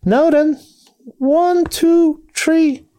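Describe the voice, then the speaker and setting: A man voicing a small young field mouse with a thin, shrill voice and a respectful, deferential manner in a storybook reading. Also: fairly even intonation, drawn-out words, mellow, heavily acted, low-quality recording. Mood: eager counting.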